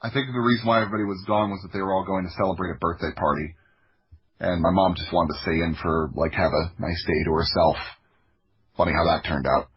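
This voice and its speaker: A muffled, slightly lo-fi recording of a man from Florida with a low, heavily husky voice. He recounts personal stories as a podcast guest, in a reflective, halting way that often circles back to restart a phrase. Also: steady tone. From Florida, United States. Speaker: podcast guest, audio drama creator. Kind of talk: personal storytelling in an interview.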